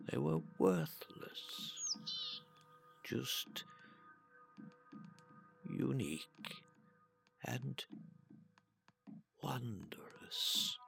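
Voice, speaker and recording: A man reading a literary story aloud in a solemn, deliberate way, breaking phrases with long pauses. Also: fairly low pitch, gravelly. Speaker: narrator reading a translated story.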